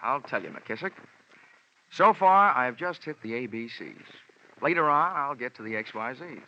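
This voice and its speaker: Wry radio detective, muffled vintage recording. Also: male voice, medium pitch, lively intonation, moderate pace, sharp tone, a little hiss or hum. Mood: needling, cool. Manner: wry, clipped, slangy delivery. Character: wisecracking hard-boiled male insurance investigator, brisk and unflappable. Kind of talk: old-time radio detective acting with first-person narration. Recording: dull old radio recording, music behind.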